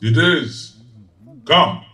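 An actor playing a jolly, genial giant spirit in an audio drama, speaking in a hearty, grand, drawn-out way. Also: male voice, mid-range voice, expressive, sharp tone, reverberant, heavily acted. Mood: solemn guiding.

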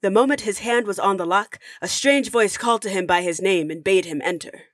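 A woman narrating an audio drama as a storyteller, with measured, expressive scripted delivery. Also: mid-range voice, dry recording, studio quality, lightly acted. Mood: storytelling. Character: storyteller narrating a classic Christmas ghost tale.

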